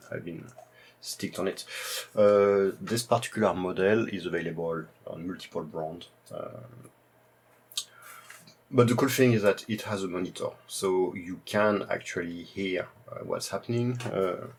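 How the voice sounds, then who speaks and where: A man with a French accent walks through old computer gear in a relaxed, halting way, his speech full of filler sounds. His voice sits low and has a gravelly edge. A faint hiss runs underneath. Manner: hesitant, heavy use of "uh" fillers. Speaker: retro computing video host. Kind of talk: casual show-and-tell presentation.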